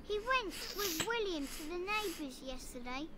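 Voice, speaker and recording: High-voiced anxious little farm child. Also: expressive, moderate pace. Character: lost young farm child, trusting, anxious for mother. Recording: clear village ambience noise behind.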